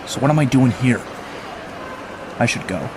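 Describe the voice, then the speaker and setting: An actor playing a sardonic, world-weary young vigilante, narrating and talking in a wry, conversational way in a motion comic. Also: male voice, medium pitch, animated, normal speed, background noise, lightly acted. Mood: self-doubting. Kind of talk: first-person narration and dialogue, motion comic.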